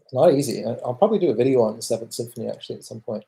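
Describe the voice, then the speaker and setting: A man with a Canadian accent answering viewer questions in casual livestream talk, thoughtful and halting as he goes. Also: medium pitch, expressive, creaky, warm tone. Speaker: music channel host answering viewer questions.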